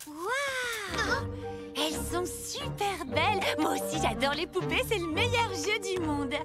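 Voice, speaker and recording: A very high, swooping voice as a scheming kid gang leader in a cartoon. The manner is falsely sweet and taunting, with a French accent. Loud music plays behind. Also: female voice, lively intonation, a little slow. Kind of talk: animated cartoon acting.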